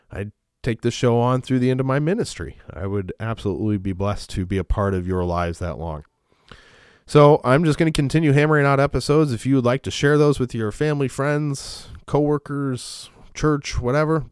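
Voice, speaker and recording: Smooth low-voiced pastor hosting a podcast. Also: male voice, no echo, clean studio sound. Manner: relaxed, rambling with many fillers and restarts. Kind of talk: podcast Bible teaching. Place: small home studio.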